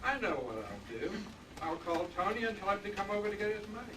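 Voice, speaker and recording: A man acting a desperate radio-play killer with tough old gangster talk. His voice runs a bit high and comes from off the microphone, in a distant, noisy live stage recording. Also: animated, fast, reverberant. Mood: menacing. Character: desperate, scheming male killer with a gun. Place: convention stage, live performance.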